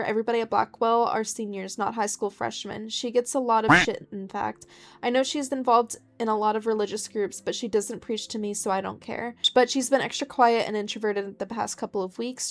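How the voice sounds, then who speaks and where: A woman's voice: fairly high pitch, steady tone, fairly quick. A woman hosting a gaming video, reading game text aloud and commenting in an informal, chatty way.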